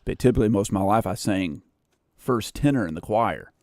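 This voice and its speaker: A man with a naturally bassy voice chatting casually and in a rambling way as a livestream host, close on a studio condenser microphone. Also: animated, fairly quick, a little mellow, no echo. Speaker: livestream host editing a video. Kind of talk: casual livestream chat while editing.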